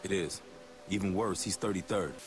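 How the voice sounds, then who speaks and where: An actor playing a tough, dry American special forces lieutenant in a video game. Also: male voice, low voice, normal speed, faint music behind, lightly acted. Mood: grim, terse. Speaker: army lieutenant.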